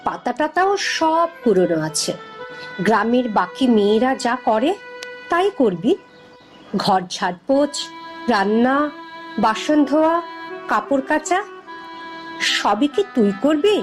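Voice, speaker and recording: A woman's voice: a little high, very animated, a little slow, long pauses, soft background music. A woman playing a weary, patient old village mother in a cartoon, chiding and pleading in a plaintive way.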